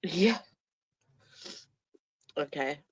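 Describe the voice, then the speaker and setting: A woman's voice: low voice, lively intonation, extremely slow, a little mellow, a little hiss or hum. A woman hosting a casual livestream chat with a stuffy nose, joking and laughing easily. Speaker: livestream host.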